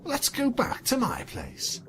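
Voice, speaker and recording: A man's voice: extremely high pitch, huge pitch swings, takes it slowly, fairly bright tone, a little hiss or hum, voice pushed up, heavily acted. A man voicing a haughty, scheming female lobster-pony from a cartoon fan story in a theatrical manner. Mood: seductive.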